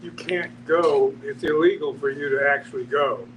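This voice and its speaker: A man thinking aloud in a halting, reflective way during a casual discussion. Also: medium pitch, muffled recording. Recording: distant mic, noisy humming room.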